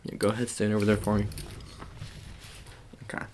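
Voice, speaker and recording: A man speaking softly with a gravelly voice in a casual ASMR roleplay, giving low-key, hesitant, repetitive instructions as an agent. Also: monotone, fairly quick, a little hiss or hum.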